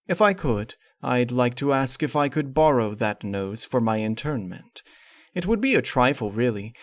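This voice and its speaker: A man's voice: mid-range voice, no room sound, studio quality. A man reading a scripted gothic horror story in the first person, with formal, theatrical and precise phrasing. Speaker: narrator reading fan fiction.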